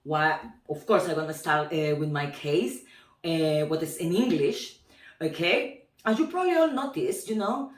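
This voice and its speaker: A Spanish-speaking woman from Peru talks to camera in a loose, chatty way, full of restarts and quick laughs. Her strong Peruvian accent colors every word. The sound is roomy and slightly distant, as if the mic sits a few feet away. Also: sits low, animated. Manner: chatty, many fillers and restarts, frequent laughs. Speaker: Spanish teacher. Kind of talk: casual talk to camera.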